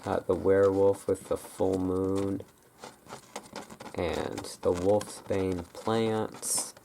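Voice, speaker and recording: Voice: low-pitched, flat delivery, very slow, gravelly. An ASMR host casually rambling through a show-and-tell, tentative and self-correcting, speaking close to a camera's built-in microphone. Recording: noisy camera microphone with clicking sounds.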